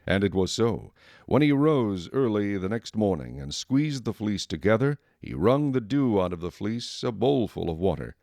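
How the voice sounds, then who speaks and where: Guy narrating bible, lowish voice, formal and measured but really moving pitch. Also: big pitch swings, darkish tone, no room sound, clean studio sound.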